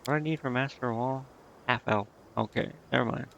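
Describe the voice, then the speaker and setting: A man's voice: mid-range voice, fast, vocal fry, a bit bright, lo-fi sound, a little hiss or hum. A man doing casual video game commentary as a streamer, thinking aloud in a rambling, hesitant way. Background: game music.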